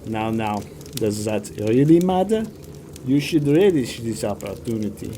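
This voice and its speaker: A man's voice: medium pitch, very animated, measured pace, dark tone, a little hiss or hum. A man playing a smug, falsely genial blackmailing town official in an audio drama, with pompous politeness. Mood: smugly genial.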